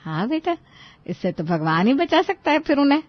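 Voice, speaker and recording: A woman's voice: high voice, big pitch swings, normal speed, no room sound. A scheming middle-aged mother-in-law, voiced by a woman coaxes with false sweetness. Sarcasm sits just under her words in this comic family tale, recorded dry and slightly muffled. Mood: falsely sweet.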